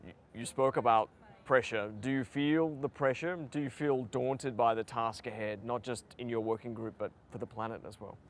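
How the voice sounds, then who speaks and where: A man's voice: mid-range voice, steady tone, normal speed, slight background noise. A man interviewing a guest as a news reporter, asking conversational, probing questions. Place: outdoors at a summit venue.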